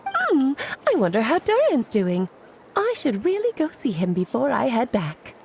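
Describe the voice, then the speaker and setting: A woman's voice: high-pitched, huge pitch swings, measured pace, background noise, exaggerated voice. An actress playing a playful, self-assured, motherly great mage in a video game, breezy and theatrical, given to lecturing. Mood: playful, teasing. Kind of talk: scripted video game character dialogue.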